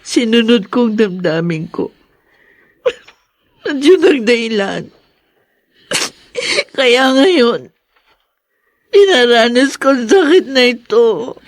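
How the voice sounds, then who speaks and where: A woman's voice: fairly high pitch, animated, slow pace, pauses often, no echo. A Filipino woman playing a grieving, embittered young wife and mother in an emotional radio drama. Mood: grieving, tearful. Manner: anguished, emotional delivery.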